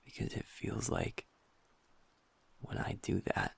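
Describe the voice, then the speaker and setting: A man voicing a gentle young werewolf boyfriend in an intimate audio roleplay. He talks softly and close to the mic, often half-whispering, and pauses and restarts as he confides. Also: lightly acted. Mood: vulnerable, confiding. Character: gentle young male werewolf boyfriend, usually upbeat, now downcast.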